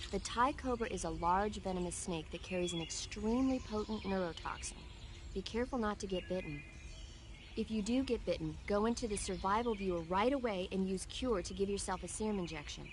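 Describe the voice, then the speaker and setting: A woman's voice: medium pitch, background noise, lightly acted. Woman acting an earnest, knowledgeable support adviser. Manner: informative, earnest. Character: knowledgeable female radio support adviser, earnest film buff. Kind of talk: video game voice acting.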